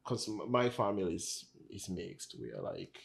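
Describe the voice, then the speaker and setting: Low-voiced Tanzanian man chatting. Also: moderate pace, creaky. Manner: relaxed, repeats words while thinking. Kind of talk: casual conversation.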